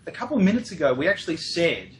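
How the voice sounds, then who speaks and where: A man's voice: a little high, wildly swooping pitch, rapid-fire, roomy sound, slight background noise. A young man hosting a casual charity livestream, eager and joking, stumbling over his words. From Australia. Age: young adult. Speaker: host.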